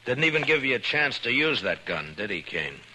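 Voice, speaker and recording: An actor playing a dogged, stern frontier marshal in a 1950s western radio drama, questioning tersely and wryly on a noisy old recording. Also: male voice, medium pitch, very animated, fairly quick, bright, forceful tone. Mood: brisk concern. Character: male frontier marshal, dogged, wry, stern about the law.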